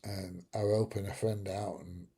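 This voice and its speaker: A man's voice: low voice, level tone, normal speed, warm tone, slightly rough. A middle-aged man hosting a casual live chat, rambling through jokey stories and chuckling at his own tales. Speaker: livestream host. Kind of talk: casual live chat reading viewer comments.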